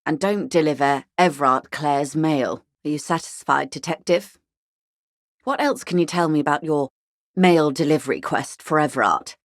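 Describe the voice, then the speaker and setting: An actress playing a wry, genteel, world-weary middle-aged woman of wealth in a video game, with measured, refined and dryly ironic speech. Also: deep voice, no room sound, clean studio sound, natural voice. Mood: wistful, self-deprecating. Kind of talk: scripted game dialogue voice acting.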